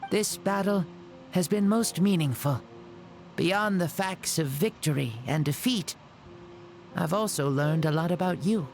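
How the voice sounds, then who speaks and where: A man voicing an older, proud and genial warrior spirit in a game cutscene, speaking in a measured, grand way. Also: high voice, gravelly, slight background noise. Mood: warm. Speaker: game character.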